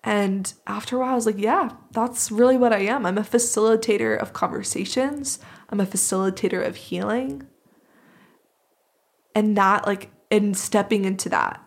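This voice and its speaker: A woman's voice: medium pitch, moderate pace, creaky. A woman with a mid-range voice talks in a reflective podcast conversation. She comes across as earnest and appreciative, speaking as a host who is sharing her own thoughts. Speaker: podcast host being interviewed.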